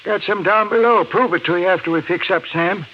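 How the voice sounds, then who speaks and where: An actor playing a folksy, halting miner with an American hillbilly accent, in 1950s radio drama style. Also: male voice, high voice. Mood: folksy, helpful. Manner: folksy, halting, clipped sentences. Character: folksy hillbilly miner, a crude disguise put on. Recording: dull, noisy 1950s radio recording.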